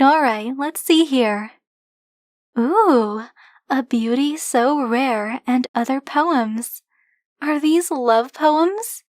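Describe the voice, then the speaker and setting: A woman's voice: high-pitched, no echo, clean studio sound. A woman playing a flirty, possessive dragon-blooded librarian in an ASMR roleplay, speaking to the listener in a teasing, coy, insistent manner. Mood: playfully possessive, teasing. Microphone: close, dry microphone.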